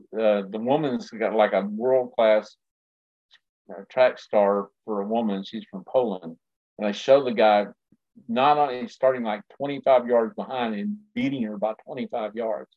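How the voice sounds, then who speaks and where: A man's voice: on the low side, fairly even intonation. A man in casual chat who rambles and stops to restart his sentences. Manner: rambling, halting, many restarts. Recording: dull lo-fi sound.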